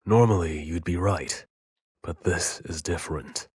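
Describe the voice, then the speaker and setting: Man voicing seasoned anime soldier, gruff, extremely husky, bottomless low pitch, grave. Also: a little slow, a little mellow, no room sound, clean studio sound, full character voice. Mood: grave, urgent. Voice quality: husky, very deep. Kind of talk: anime audio drama acting.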